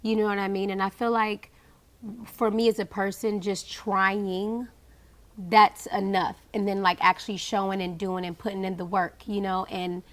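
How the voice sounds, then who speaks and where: A woman in her thirties chatting casually as a podcast guest, talking in an animated, slangy way. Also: mid-range voice, normal speed. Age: 30s. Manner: animated, slangy, laughs loudly when amused. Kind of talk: casual chat.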